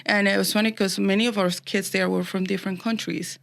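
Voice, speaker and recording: A woman's voice: medium pitch, level tone, fast, vocal fry, a bit bright. A middle-aged woman from Colombia with a Colombian Spanish accent, a native Spanish speaker chatting as an interview guest, laughing often and restarting her sentences. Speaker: guest, macaron baker. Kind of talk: casual interview chat.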